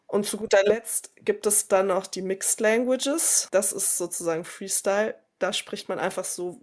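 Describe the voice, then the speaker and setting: A woman in her thirties talks in a relaxed podcast chat. She explains patiently, works through examples and slips into a mild lecturing tone. Her voice sits at an ordinary middle pitch for a woman. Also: normal speed. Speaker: podcast host, speaker on multilingual upbringing.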